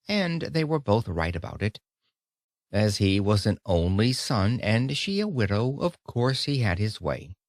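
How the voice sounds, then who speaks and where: A man reading an audiobook of a speech in an expressive storytelling manner, with dramatic pauses. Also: fairly low pitch, no room sound, clean studio sound. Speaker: audiobook narrator. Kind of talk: scripted audiobook narration of a speech.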